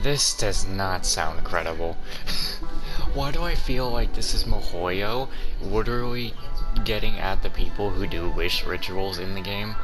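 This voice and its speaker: Male gamer host, fairly low voice, casual playthrough commentary, loud game music underneath. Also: measured pace.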